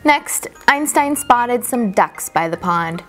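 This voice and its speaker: A woman reading a children's picture book aloud as a storyteller, expressively and deliberately, drawing out key words for emphasis. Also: on the high side, lively intonation, faint music behind.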